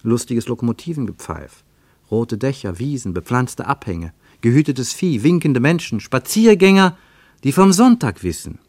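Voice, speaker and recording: A man narrating a radio travel play in a measured, lyrical way, with dramatic swings in pitch and loudness. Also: very animated, no echo, studio quality.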